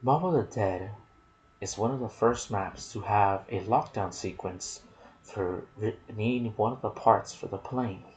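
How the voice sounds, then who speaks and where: Slow, low-voiced man doing ASMR game commentary. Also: darkish tone, low-quality recording. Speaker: video game lore narrator. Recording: clear background noise.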